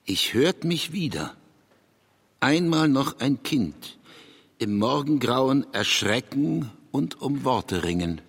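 An actor playing a brooding, hesitant male writer in a literary radio drama, with a husky voice. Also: medium pitch, big pitch swings, slow pace, heavily acted. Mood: solemn, intense. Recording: long hall-like reverb.

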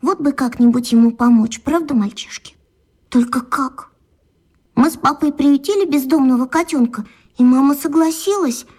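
A woman voicing a lively, curious and kind-hearted schoolgirl in a children's radio play, chattering in a childlike way. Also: high-pitched, moderate pace, natural voice. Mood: pitying. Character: lively inquisitive schoolgirl Katya, tenderhearted.

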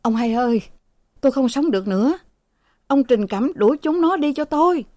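A woman's voice: fairly high pitch, expressive, moderate pace, dark tone, dry recording, pitched above the performer's own voice, close to an everyday voice. A woman reading aloud, voicing a bedridden old father who cries out in strained, wailing tones. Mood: pleading. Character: sick old man, bedridden father, bitter and shrill.

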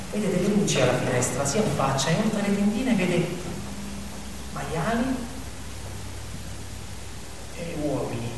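A man performs as a somber narrator of a bleak animal fable. The phrases come in repetitions with weighty pauses, as in a theatre monologue. The voice sounds distant in a long, echoing hall over faint hiss. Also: on the high side, lightly acted.

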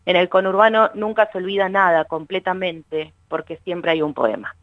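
A woman's voice: on the low side, normal speed, no echo, narrow, tinny audio. A woman with a Rioplatense Argentine accent, a guest poet who reads and chats casually. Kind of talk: poetry reading and casual chat.

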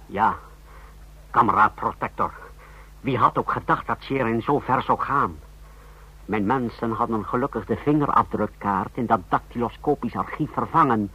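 A loyal secret-service henchman in a vintage radio drama. His voice is sharp and rough-edged, his delivery formal, clipped and deferential to his leader. The sound is dull and dated. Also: mid-range voice, moderate pace. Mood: dutiful. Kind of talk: mid-century European radio drama acting. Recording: dull, lo-fi old radio recording.

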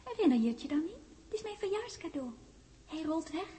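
An actress playing a gentle, eerie young woman in a 1960s Dutch radio play, hushed and dreamy, often slipping into a whisper. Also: very high voice, sing-song, fairly quick, a crowd in the background. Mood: wistful. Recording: 1961 radio recording, music behind.

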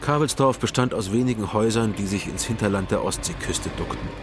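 An actor playing a sober male private detective, narrating his case in a measured, matter-of-fact way for a radio crime drama. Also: on the low side, a little hiss or hum, lightly acted. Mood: sober, descriptive. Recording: music under the narration. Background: music.

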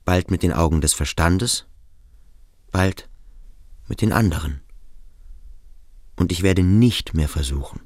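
An actor playing an introspective adolescent boarding school pupil in a radio play, halting and searching with quiet intensity. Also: male voice, deep voice, no room sound, studio quality, full character voice. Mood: brooding, searching. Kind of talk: German radio play monologue.

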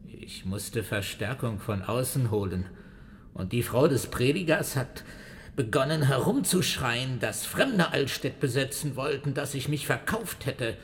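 A man's voice: medium pitch, expressive, a little slow, a little hiss or hum. An actor playing an obsequious, aggrieved bailiff in a historical radio drama, fawning and defensively complaining to his lord. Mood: defensive, agitated. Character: obsequious, aggrieved male bailiff and tax collector.

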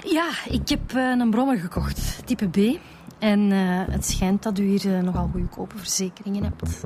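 An actress playing a persistent young Flemish police detective in a naturalistic radio drama. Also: female voice, mid-range voice, normal speed, warm tone, slight background noise, lightly acted. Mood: casually probing.

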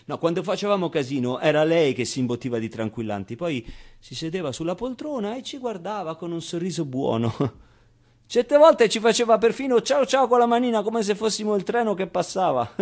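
A young orphan boy telling his story in a theatre monologue. The voice sits a little high, with halting, colloquial phrasing and small interjections. He is candid and streetwise but tender, speaking straight to his listeners. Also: big pitch swings, a little mellow, heavily acted. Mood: tender, reflective, then amused.

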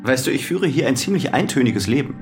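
A man's voice: mid-range voice, expressive, fast talker, faint music behind, natural voice. An actor playing a weary, defensive male prison inmate in a radio drama, speaking naturalistically.

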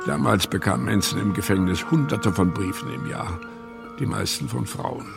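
A man's voice: lowish voice, animated, moderate pace, creaky. A man with pronunciation giving scripted, matter-of-fact documentary narration in a radio play. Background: music.

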